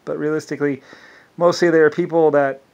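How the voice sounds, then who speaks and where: Brief: male vlogger, higher-pitched, rambling delivery full of restarts and asides. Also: fairly even intonation, a little fast, creaky, darkish tone, lo-fi sound. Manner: meandering, restarts often, many "anyway" asides. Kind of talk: rambling solo monologue.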